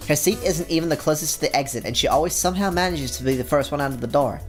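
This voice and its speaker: A man's voice: on the high side, very fast, soft background music. A gaming YouTuber, a man, reading a visual novel aloud in a casual, chatty way.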